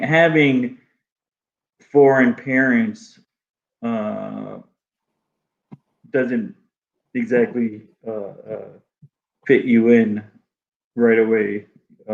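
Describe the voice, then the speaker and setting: Male guest, native Arabic speaker, gravelly, hesitant delivery, dull lo-fi sound. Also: mid-range voice, fairly even intonation. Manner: halting, many restarts and fillers. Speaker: guest artist. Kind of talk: casual interview conversation.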